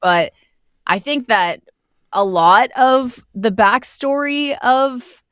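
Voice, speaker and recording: A woman's voice: fairly high pitch, lively intonation, takes it slowly, fairly bright tone, clean tone, no room sound, studio quality. A woman speaking casually and effusively as a guest in an interview. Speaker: guest, voice actor who narrated the project.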